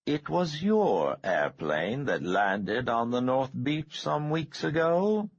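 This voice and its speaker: A man voicing a courtly, smooth-talking male billionaire with an oily, polite manner. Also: mid-range voice, very animated, takes it slowly, dry recording, lo-fi sound, voice pushed up, full character voice.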